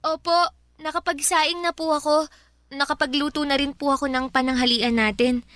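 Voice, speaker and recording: A woman's voice: high-pitched, fairly even intonation, moderate pace, fairly bright tone, no room sound, studio quality. A woman playing an earnest, polite young granddaughter in a Filipino radio drama. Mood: subdued, obedient. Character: eager, affectionate young granddaughter, polite child.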